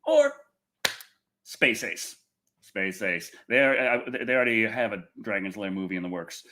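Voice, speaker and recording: A man hosting a livestream and reviewing films in casual, excitable, rambling chat, repeating himself and swearing freely, with a husky voice. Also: lowish voice, unhurried, background noise. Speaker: livestream host and film reviewer.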